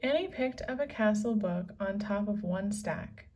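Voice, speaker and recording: A woman reading a children's story aloud as narrator, with measured, deliberate phrasing. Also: mid-range voice, animated, darkish tone, slight background noise. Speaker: storybook reader. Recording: slightly distant from the microphone.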